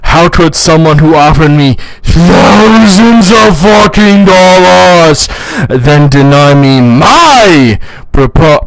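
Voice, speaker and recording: Man putting on an indignant, melodramatic bridezilla, slowly drawn out. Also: a little high, no echo, rough recording. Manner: theatrical, indignant, profane. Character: entitled, melodramatic, self-pitying bride-to-be. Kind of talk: dramatic comedic reading.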